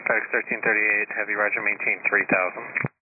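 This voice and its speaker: A male air traffic controller with a slightly low voice rattles off procedural instructions very quickly. He is matter-of-fact, and the radio channel is narrow and phone-like. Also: level tone. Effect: air traffic radio transmission. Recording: phone-like radio with faint noise.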